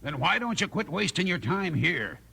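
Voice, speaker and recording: Gravelly, hard-nosed western gunman. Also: high voice, big pitch swings, moderate pace, bright, forceful tone, full character voice. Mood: resentful. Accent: American Western. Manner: gruff, commanding. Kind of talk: 1950s radio western acting. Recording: noisy vintage 1950s radio recording.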